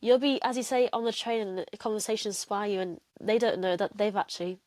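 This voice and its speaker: A young woman hosts an interview and chats casually with her guest. She speaks at a middle pitch and often fills her sentences with "like." The sound is dry and close. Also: fast, darkish tone, no room sound. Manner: chatty, lots of "like" fillers. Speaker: interviewer host.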